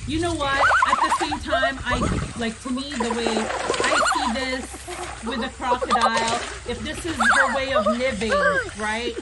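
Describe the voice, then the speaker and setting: A woman's voice: high-pitched, sing-song, unhurried, heavy background noise. A woman hosting an online radio show, chatting casually and expressively in exclamations to her audience over a video call. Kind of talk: lively casual reaction chat.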